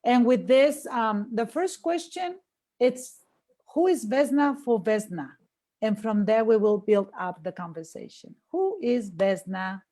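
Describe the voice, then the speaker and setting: A woman's voice: fairly high pitch, measured pace, warm tone. A woman hosting a live interview, enthusiastic and repeating words as she welcomes her guest. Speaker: podcast host.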